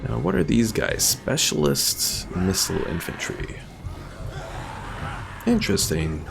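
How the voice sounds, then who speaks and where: A man's voice: lowish voice, wildly swooping pitch, measured pace, gravelly. A man giving casual gameplay commentary as a streamer, thinking aloud as he plays. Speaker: streamer playing a strategy game. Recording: game music behind voice.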